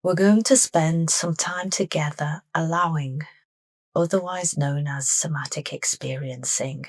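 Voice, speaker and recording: A woman leading a guided meditation with soft, unhurried, deliberate phrasing. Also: low-pitched, no echo.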